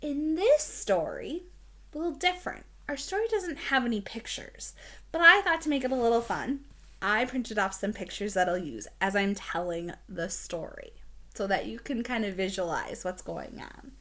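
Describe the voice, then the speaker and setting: A woman's voice: medium pitch, sing-song, normal speed, fairly bright tone. A woman teacher introducing a read-aloud for young children, explaining things simply and asking the listener questions. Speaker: elementary school teacher.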